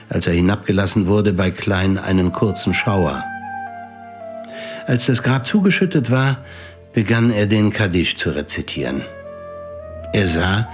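A man reading scripted literary narration for an audio drama in a sober, composed way. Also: lowish voice.

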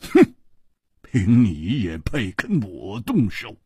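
Man acting a cold, husky, menacing swordsman. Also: on the low side, wildly swooping pitch, darkish tone, no room sound, clean studio sound, full character voice. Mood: scornful. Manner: cold, deliberate, contemptuous.

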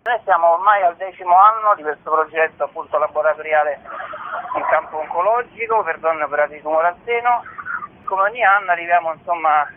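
A man's voice: fairly high pitch, fairly quick, slight background noise. Man on a narrow phone-band line. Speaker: musician and theatre author, interview guest. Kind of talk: radio interview. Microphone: phone call to a radio show. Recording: narrow telephone-band sound.

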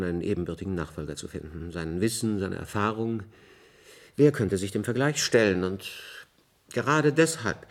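A man's voice: sits low, heavily acted. A man playing a formal, paternal senior official of an underground survivor community in a science-fiction radio drama.

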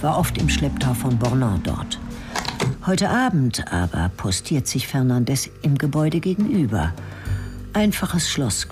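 A woman narrating a radio crime drama in terse, clipped sentences. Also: deep voice.

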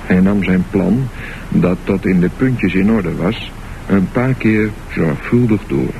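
A man narrating a scripted radio drama in measured, deliberate short phrases. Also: sits low, creaky. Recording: noisy, dull lo-fi radio recording.

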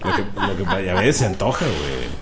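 A man's voice: sits low, huge pitch swings, a little fast, heavy background noise. A man co-hosting a comedy podcast, teasing and laughing through casual chat. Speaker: podcast co-host.

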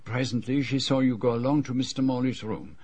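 An actor in a radio drama playing a shrewd, grave foreign detective who speaks formally and deliberately. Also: male voice, medium pitch, a little mellow, lightly acted. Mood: stern, pressing. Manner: formal, deliberate phrasing without contractions.

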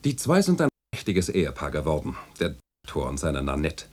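A jovial, witty man of letters in a radio play. The voice is very low and husky, with big theatrical swings of melody. He speaks in florid, ironic phrases with a teasing edge. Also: huge pitch swings, brisk, darkish tone, heavily acted. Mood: teasing, amused. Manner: theatrical, chuckling irony with florid literary phrasing. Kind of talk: German radio play acting.

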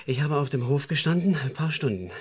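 An actor playing a sly, cynical, seedy press photographer and hustler in a radio drama. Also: male voice, on the high side, lively intonation, fairly quick, breathy edge. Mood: smug, gleeful.

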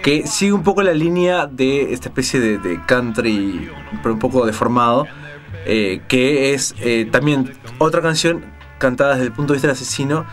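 A man's voice: medium pitch, normal speed. A man with a Rioplatense Spanish accent presents a music show, explaining things in a casual, digressive way as if thinking aloud.

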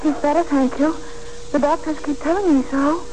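A woman's voice: high-pitched, fairly even intonation, normal speed, a little mellow, natural voice. A woman playing an anxious, lonely, evasive daughter in 1940s radio drama, speaking in a hesitant, vague, fretful way. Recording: noisy old 1940s radio broadcast.

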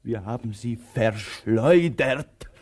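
An actor playing a patient, brooding male tramp in a German radio play, with a measured, dry, theatrical delivery. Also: mid-range voice, lively intonation, a little mellow. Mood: pensive.

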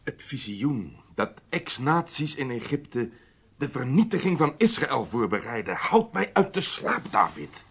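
An actor playing an obsessed, resolute Israeli intelligence chief, speaking gravely and emphatically in formal Dutch radio drama style. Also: male voice, medium pitch, very animated, measured pace, fairly bright tone, exaggerated voice. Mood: troubled, intense.

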